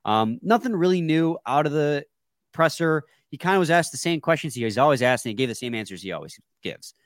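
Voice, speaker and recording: A man's voice: on the high side, big pitch swings, fairly quick, dry recording, clean studio sound. Congested man hosting a sports podcast. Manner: joking, laid-back banter. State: stuffy, congested from a cold. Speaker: podcast co-host. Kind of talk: casual sports podcast chat.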